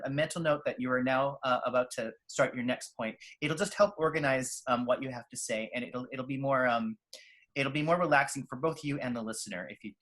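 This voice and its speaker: A man coaching on speaking pace in a calm, steady way, with frequent pauses and restarts. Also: medium pitch. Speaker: presentation skills coach. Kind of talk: instructional talk.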